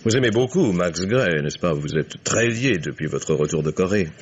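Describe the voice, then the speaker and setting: An actor playing an older male investigator in an old French radio mystery, courteous and insinuating, with measured irony. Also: sits low, expressive, slight background noise. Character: shrewd older investigator, courteous, ironic, accusing father. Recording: dull vintage radio recording.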